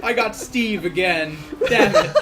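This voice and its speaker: A man's voice: high voice, lively intonation, a little slow, heavy background noise. A man from Quebec co-commentating on a video game in casual, teasing, sarcastic chat. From Quebec, Canada. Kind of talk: casual joking chat over gameplay.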